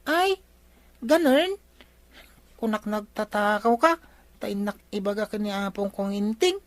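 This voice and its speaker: A woman's voice: mid-range voice, lively intonation, measured pace, no room sound, close to an everyday voice. A woman playing an inquisitive, cheeky young grandchild with an Ilocano accent in a radio comedy drama, chatty and questioning. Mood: nosy, accusing.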